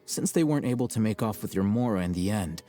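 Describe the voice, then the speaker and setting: Man acting an aloof, low-voiced young scholar. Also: animated, warm tone, natural voice. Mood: cool, curt. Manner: cool, measured, condescending, articulate. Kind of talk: English video game voice acting. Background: faint game background music.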